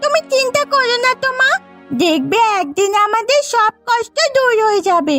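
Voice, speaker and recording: A tiny, very high-pitched little girl from a village cartoon. She is sweet, eager and comforting, with a sing-song lilt over soft music. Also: very animated, moderate pace. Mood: caring, curious. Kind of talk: animated moral story voice acting.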